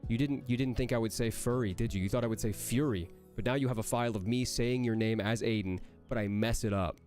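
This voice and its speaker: Young guy, stuffy nasal voice like he's got a cold, jokey stream q&a, middle pitch. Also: a little mellow, soft background music. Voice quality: nasal, sounds like he has a cold. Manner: playful, joking, answers quickly. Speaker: voice actor. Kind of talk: livestream Q&A reading chat questions aloud.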